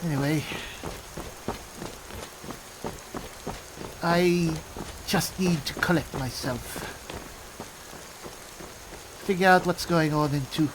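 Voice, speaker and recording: A man playing a skeptical high elf spellsword, musing and sardonic in a roleplayed video game. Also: noisy background. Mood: musing, wry. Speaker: player roleplaying his game character.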